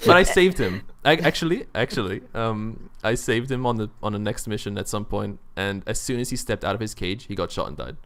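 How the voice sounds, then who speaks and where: A man's voice: fairly low pitch, expressive, brisk, hardly any pauses, fairly bright tone. A man hosting a casual gaming podcast chat, talking enthusiastically and swearing freely. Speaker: podcast host.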